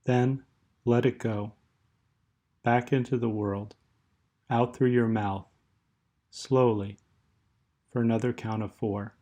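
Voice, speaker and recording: Very slow, mellow man guiding meditation. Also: medium pitch, steady tone. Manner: soothing, measured, counting with long pauses. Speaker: guided meditation host. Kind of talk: guided meditation narration.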